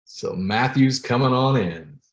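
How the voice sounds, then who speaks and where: A male host running a live video chat, upbeat and quick to laugh. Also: medium pitch, expressive. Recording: echoey room.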